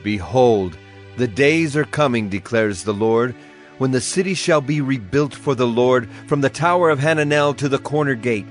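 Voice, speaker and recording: An actor playing a solemn Hebrew prophet, proclaiming oracles earnestly in a dramatized scripture reading. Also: male voice, mid-range voice, normal speed, natural voice. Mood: solemn proclamation. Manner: declamatory, earnest. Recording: music behind the voice.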